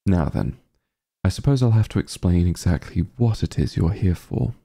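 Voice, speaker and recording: A man playing an arrogant, menacing sorcerer king in an ASMR roleplay, pompous and condescending, with deliberate formal diction. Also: low voice, expressive, creaky, dark tone, full character voice. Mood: grave, explanatory.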